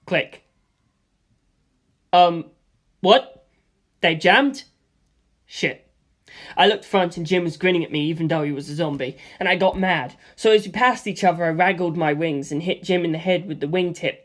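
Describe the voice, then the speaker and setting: A man narrating a first-person action story as an amateur audiobook reader, in an animated style. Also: on the high side, measured pace, long pauses.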